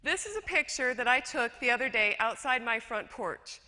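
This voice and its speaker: Bright-voiced woman in reverberant hall. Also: a little high, expressive, moderate pace. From rural western New York, USA. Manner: chatty, self-deprecating, with fillers and restarts. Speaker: conference speaker, educator. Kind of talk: conference talk with slides. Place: lecture hall.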